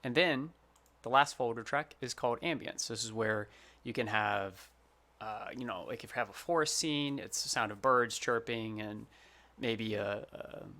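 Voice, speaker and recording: A man's voice: medium pitch, normal speed, pushed and bright. A man who works as a sound designer, giving an informal, plain-spoken tutorial. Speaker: sound designer presenting his project.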